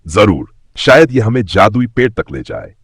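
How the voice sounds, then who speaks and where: A man's voice: fairly low pitch, sing-song, moderate pace, slightly rough, no room sound, clean studio sound, full character voice. A man voicing a brave, curious young village boy in a children's story, earnest and enthusiastic. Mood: eager.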